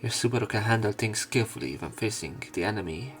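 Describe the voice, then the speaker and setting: A man's voice: on the low side, animated, fast. A man reading a visual novel aloud as a let's play host, with some commentary of his own and the odd stumble over words. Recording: faint music behind. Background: soft game music.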